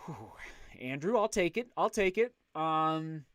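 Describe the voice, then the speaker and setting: A man hosting a casual livestream, chatting upbeat and loud while reading out viewer comments. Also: on the high side, expressive, a little slow, no echo. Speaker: livestream host.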